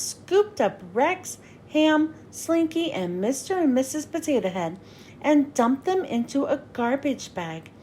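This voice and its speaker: A woman narrating a children's storybook as a read-aloud host, with expressive, clearly phrased delivery. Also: high-pitched, a little hiss or hum.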